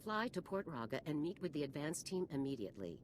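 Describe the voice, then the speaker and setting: A woman's voice: sits low, big pitch swings, fast, a little hiss or hum. An actress playing a stern female military general in a video game, speaking in a formal, authoritative, clipped manner. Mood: commanding. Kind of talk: video game character acting.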